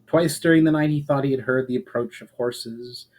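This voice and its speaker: A man reading a fantasy story aloud as a narrator, in a measured, expressive storyteller's manner. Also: mid-range voice, poor audio. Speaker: author reading fiction aloud.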